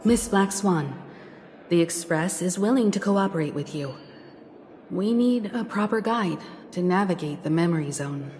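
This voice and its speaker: A woman's voice: on the low side, lively intonation, moderate pace, a little mellow, faint music behind, natural voice. A woman voicing a composed, courteous leader of a crew in a video game, polite and decisive. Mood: composed, resolute.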